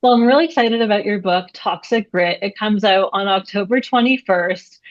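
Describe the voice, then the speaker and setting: A woman's voice: medium pitch, moderate pace, muffled recording. A woman hosting an interview, asking upbeat, prepared-sounding questions. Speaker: host.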